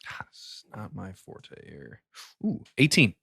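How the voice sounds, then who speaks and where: A man's voice: lowish voice, huge pitch swings, takes it slowly, gravelly, a bit bright, breathy edge, no echo, clean studio sound. A man chatting casually as a player in a tabletop role-playing game.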